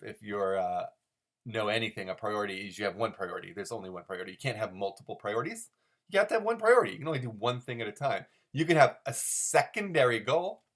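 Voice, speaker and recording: A man's voice: mid-range voice, a bit bright. Man rambling in mock-serious commentary. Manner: mock-serious, overanalytical, emphatic repetition. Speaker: host of a comic-strip commentary show. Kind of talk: rambling, unscripted solo commentary.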